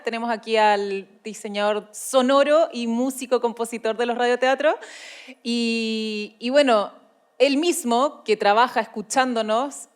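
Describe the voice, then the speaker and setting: A Chilean woman speaks casually on a panel. Her voice sits a little high and has a smooth, clean tone. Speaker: panelist on radio drama.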